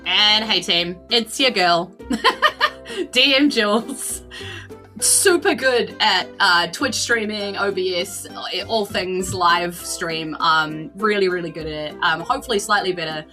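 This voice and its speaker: A woman's voice: mid-range voice, slow, bright, forceful tone, faint music behind. A woman with a New Zealand accent, hosting and narrating as game master on a tabletop roleplaying podcast.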